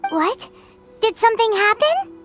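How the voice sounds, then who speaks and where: A woman's voice: very high voice, very animated, a little slow, music in the background, exaggerated voice. A woman voicing a small, childlike, chirpy and nosy fairy companion in a video game. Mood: surprised. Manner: speaks of herself in third person, exclamatory.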